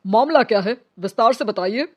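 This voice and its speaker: Woman voicing scornful man in high voice. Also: animated, fairly quick, highs cut off. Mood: questioning. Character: male characters: gruff policemen and scornful angry men. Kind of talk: dramatised Hindi story dialogue.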